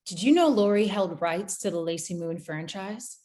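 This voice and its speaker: A woman's voice: mid-range voice, animated, normal speed, dark tone, close to an everyday voice. An actress playing a shocked, urgent woman held hostage in a dramatic table read.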